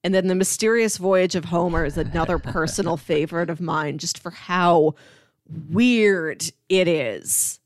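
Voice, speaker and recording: A woman with a lowish voice co-hosts a casual podcast. She explains things articulately and with enthusiasm, recorded close in a dry space. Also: a little slow, no echo. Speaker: podcast co-host. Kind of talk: casual chat about sitcoms.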